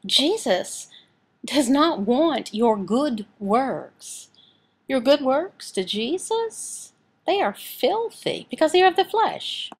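Slow, higher-voiced woman interpreting a sermon. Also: sing-song, husky. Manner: deliberate, phrase-by-phrase delivery with pauses. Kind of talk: sermon and prayer, voiced from sign language.

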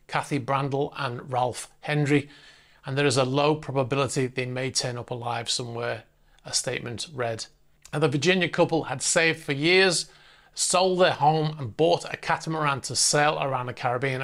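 A man in his forties presenting a news bulletin from a studio on a lapel mic, reading briskly with frequent fillers and restarts. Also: medium pitch, fairly bright tone. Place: indoor studio in front of a screen.

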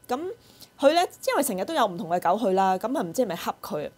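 A woman's voice: medium pitch, sing-song, racing, a little mellow. A woman with a Hong Kong Cantonese accent telling personal stories in casual chat as a podcast co-host, acting out the dialogue.

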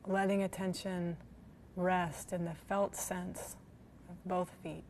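A woman guiding a body scan meditation in a gentle, soothing manner, leaving long pauses between phrases. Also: on the low side, flat delivery, a little hiss or hum. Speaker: meditation guide.

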